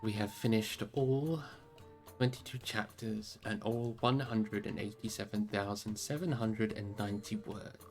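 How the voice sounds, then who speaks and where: Live audiobook-style narrator, mid-pitched, storybook delivery over background music. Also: fairly even intonation, unhurried, a little mellow. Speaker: streamer reading a novel aloud.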